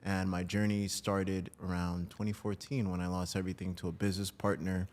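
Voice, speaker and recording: A man's voice: low voice, flat delivery, a little fast, a little mellow. A man from New Jersey talking casually as an interview guest about his own work. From New Jersey, United States. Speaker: podcast guest, breathwork coach.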